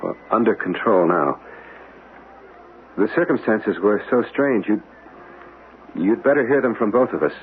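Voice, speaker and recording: An actor playing an earnest, courteous gentleman in a ghost mystery, measured and polite in a radio drama. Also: fairly low pitch, lively intonation. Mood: shaken, grave. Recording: narrow, noisy old radio broadcast.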